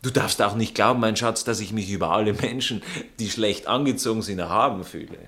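An actor playing a prim, jealous aristocratic fiancé with an Austrian accent, drawling and indignant in a satirical stage comedy. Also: male voice, lowish voice, brisk, pushed and bright. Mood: condescending. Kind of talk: stage comedy acting in a radio play manner.

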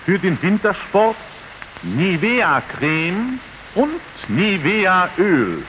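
A man announcing a product in an old radio advertisement, declaiming in a measured way. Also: sits high, sing-song. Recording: dull 1930s archive radio recording with faint noise.

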